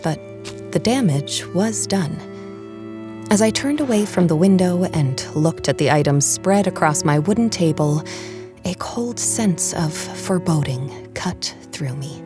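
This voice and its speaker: A woman reading a first-person story as a dramatic narrator, measured, with phrases that drop into a hush. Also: lowish voice, lively intonation, over music. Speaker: audiobook narrator.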